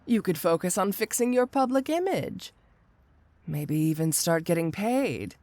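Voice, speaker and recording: A woman's voice: fairly low pitch, sing-song, darkish tone, dry recording. A woman playing a flirty, teasing supervillain cat burglar in an ASMR roleplay audio drama, with a coy, seductive delivery. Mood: coaxing, tempting. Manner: coy, teasing, drawn-out delivery with rhetorical tag questions.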